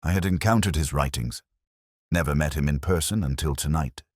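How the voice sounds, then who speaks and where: A man's voice: rumbling low, brisk, husky, dry recording, clean studio sound. A man voicing a solemn, burdened clairvoyant with a grave, formal manner in a storybook mystery.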